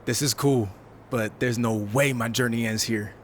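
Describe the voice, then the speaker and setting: A man's voice: medium pitch, fairly even intonation, normal speed, slight background noise, natural voice. A man voicing a self-assured, sardonic young rookie wrestler in a video game cutscene. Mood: confident. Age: young adult. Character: cocky, wisecracking young male rookie wrestler.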